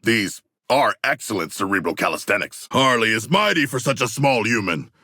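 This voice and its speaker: An actor playing a hulking, proud humanoid shark warrior in a video game, speaking in grandiose, formal language with a gravelly voice. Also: male voice, lowish voice, very animated, moderate pace, bright, forceful tone, no room sound, clean studio sound, full character voice. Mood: formal, thoughtful. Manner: grandiose, formal diction with precise, elevated wording. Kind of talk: video game character voice lines.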